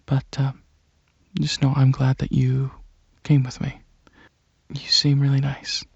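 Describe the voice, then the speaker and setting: A soft-spoken ASMR performer playing a gentle, shy, caring alien dragon, talking hesitantly in a breathy half-whisper. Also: mid-range voice, monotone, unhurried, dry recording, dull audio.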